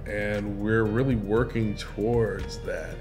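Middle-aged man, American accent, low pitch, casual interview talk, pastor, background music. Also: steady tone, takes it slowly, a little mellow. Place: indoor church sanctuary, seated interview. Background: guitar music.

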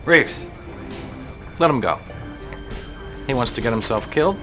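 A man's voice: medium pitch, animated, unhurried, music in the background, natural voice. An American male actor playing a bitter, sarcastic middle-aged colonel in a military game drama. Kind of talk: forceful military drama acting. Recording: loud score over 1990s game cutscene.

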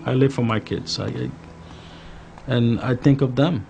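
Man, 30s, from the Dominican Republic, police officer, documentary testimony, earnest and measured, mid-pitched voice, guitar music underneath. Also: fairly even intonation, gravelly. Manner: earnest, measured, repeats phrases for emphasis. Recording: music bed playing behind voice.

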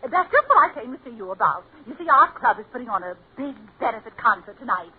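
A woman's voice: on the high side, very animated, quick pace. An American woman playing a haughty, easily offended club wife, indignant and gushing, in 1940s radio comedy. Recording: tinny 1940s radio recording, audience behind.

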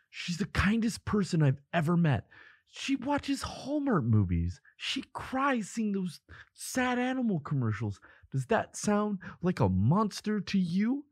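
A man playing a devoted, protective boyfriend in a dramatic audio roleplay, with an emotive, halting delivery. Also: fairly high pitch, husky, no room sound, studio quality, full character voice. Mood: angry, defiant. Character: devoted boyfriend of a vampire, protective, frantic, defiant.

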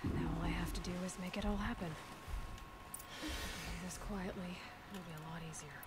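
A woman playing a self-assured, commanding young huntress in a video game, speaking in a measured, purposeful way. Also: fairly low pitch, fairly even intonation, a crowd in the background, lightly acted. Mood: focused, planning. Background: game music.

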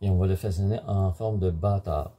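Man, middle-aged, low pitch, very dark mellow tone, husky, halting instructional delivery, home cooking demonstration. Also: fairly even intonation. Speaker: home baker presenting a recipe. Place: home kitchen, indoors.

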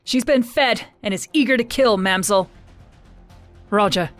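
A woman's voice: mid-range voice, unhurried, faint music behind, pitched above the performer's own voice, lightly acted. A woman voicing terse, battle-ready female tank crew in an audiobook, with clipped military callouts. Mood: eager, clipped.

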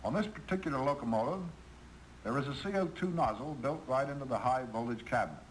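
A man's voice: medium pitch, quick pace, natural voice. A man playing an earnest American railroad manager chairing a meeting in scripted 1950s training film dialogue. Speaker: railroad official leading a meeting. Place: conference room. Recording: noisy 1950s film soundtrack.

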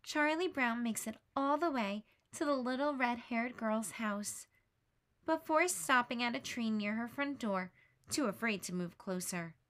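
A woman, a teacher, reading a children's picture book aloud, gentle and expressive. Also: a little high, unhurried. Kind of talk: read-aloud storybook narration.

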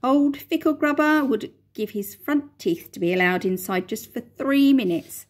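A woman reading aloud and voicing an eccentric, excitable male chocolate maker, raising her voice to sound bright and bossy. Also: a little high, measured pace, pitched above the performer's own voice. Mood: excited stern. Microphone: phone camera at close range.

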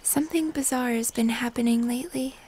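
A young woman playing a gentle, mysterious moth-human hybrid in fantasy ASMR, speaking in soft, breathy whispers. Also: on the high side, a little hiss or hum, lightly acted. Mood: mysterious, uneasy. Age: young adult. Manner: slow, hushed, mysterious, gentle. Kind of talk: cinematic fantasy ASMR roleplay.

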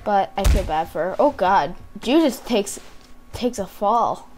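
Girl gamer talking to herself rly slow, voice kinda going, bit of hiss and typing clicks. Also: mid-range voice, expressive, a little mellow. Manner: rambling, thinking aloud, talking to herself. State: losing her voice, often clearing throat. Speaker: gamer hosting a gameplay video. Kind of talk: casual gameplay commentary. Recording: background hiss, keyboard and mouse clicks.

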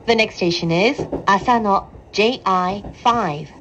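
A woman train announcer reading scripted station announcements in a calm, formal, deliberate manner. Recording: dull train PA with clear rumble. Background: train running noise.

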